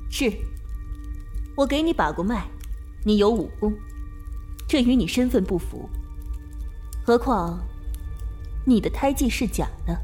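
A woman's voice: a little high, expressive, unhurried, pauses often, a little mellow, soft background music. A woman playing a shrewd, composed martial sect woman in an amateur Chinese costume audio drama, with a cool, firm delivery. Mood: cold, probing.

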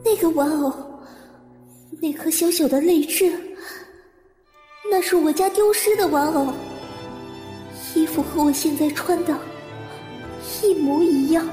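Audio drama heroine, female actor: timid twenty-five-year-old, high and mellow, introspective narration, echoey space. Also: unhurried, pauses often, faint music behind. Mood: uneasy. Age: young adult. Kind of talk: Mandarin audio drama acting with first-person narration.

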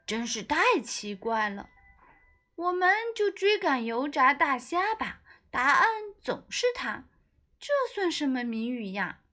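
Lady doing a pouty little storybook chef kid, easily exasperated, bright and swoopy. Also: high voice, very animated, soft background music, full character voice. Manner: pouty, fast exclamations. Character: small indignant young apprentice chef, easily exasperated.

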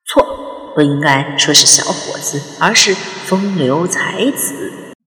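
A woman's voice: deep voice, expressive, slow, fairly bright tone, roomy sound, long reverb tail, heavily acted. A woman voicing a jovial, playful middle-aged father who likes to boast, in an audio drama. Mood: playfully boastful. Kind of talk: audio drama character dialogue.

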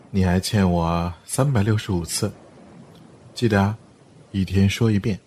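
A man playing a calm, doting, teasing lover in a Chinese costume audio drama, speaking gently and unhurriedly. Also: deep voice, slow, slight background noise. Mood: amused, teasing.

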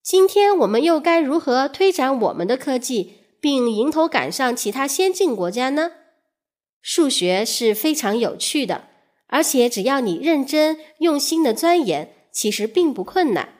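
A woman's voice: high voice, big pitch swings, measured pace. A woman storyteller reading scripted educational narration for children, speaking directly to young listeners in a teacherly, questioning manner. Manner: addresses young listeners directly with rhetorical questions and soft sentence particles.

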